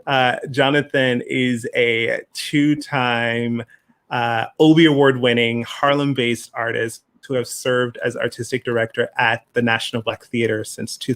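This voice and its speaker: A man hosting an online festival conversation in an informal, halting way, speaking over a remote call. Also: medium pitch, a bit bright. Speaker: host, festival producer.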